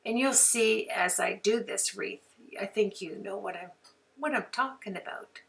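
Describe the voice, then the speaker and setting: A hoarse woman hosting a card-making tutorial in a chatty, folksy way. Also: mid-range voice, measured pace, a bit bright, distant mic, rough recording. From Canada. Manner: chatty, folksy, homey phrases. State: hoarse from flu. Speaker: craft tutorial host.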